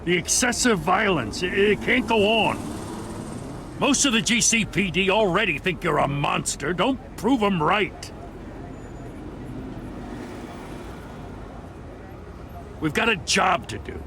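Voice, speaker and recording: An actor playing a wary, gruff and blunt police lieutenant in a video game drama. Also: male voice, high-pitched, animated, moderate pace, background noise. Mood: stern warning. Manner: exasperated, blunt.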